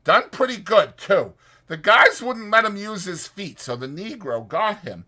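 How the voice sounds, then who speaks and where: A man's voice: high-pitched, lively intonation, unhurried, bright, forceful tone, voice pushed up, heavily acted. A man voicing a chatty old ranch swamper with rambling, folksy speech for a dramatized audiobook. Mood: excited, gossipy.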